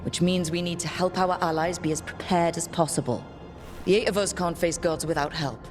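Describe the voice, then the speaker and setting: A woman's voice: on the low side, brisk, close to an everyday voice. A woman playing a determined, blunt leader of a band of heroes in a video game cutscene. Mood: resolute. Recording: music behind the voice.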